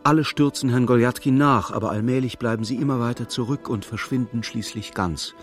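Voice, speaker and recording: An actor narrating a dark literary radio play as a solemn, measured storyteller. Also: male voice, fairly low pitch, lightly acted.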